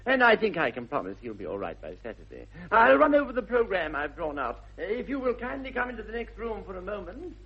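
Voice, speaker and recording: An actor playing an idle young English gentleman in a 1960s radio comedy, airy, arch and mock-earnest, with an upper-class accent. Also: sits high, huge pitch swings, a little fast, hardly any pauses, slightly rough, full character voice. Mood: smooth, evasive. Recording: slightly dull vintage radio recording.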